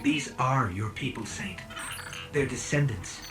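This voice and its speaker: A man's voice: medium pitch, unhurried, close to an everyday voice. Earnest, wry robot companion spirit voiced by a man. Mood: gentle, encouraging. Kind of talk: cinematic video game voice acting. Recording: music behind the voice.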